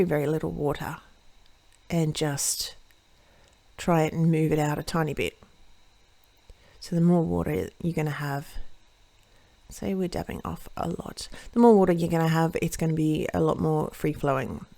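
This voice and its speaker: A woman hosting a watercolour card-making tutorial, chatting through each step as she paints and often correcting herself mid-sentence, her voice a little croaky. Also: on the low side, darkish tone, dry recording. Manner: chatty, self-correcting, thinks aloud while painting. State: slightly croaky voice. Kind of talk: casual step-by-step craft demonstration.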